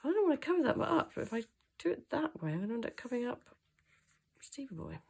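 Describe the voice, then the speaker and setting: A woman's voice: fairly high pitch, very animated, fast talker, gravelly, a little mellow, husky. A woman hosting a papercraft tutorial, sounding throaty from a cold and thinking aloud with frequent hesitations. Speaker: craft channel host. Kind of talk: chatty hands-on craft tutorial.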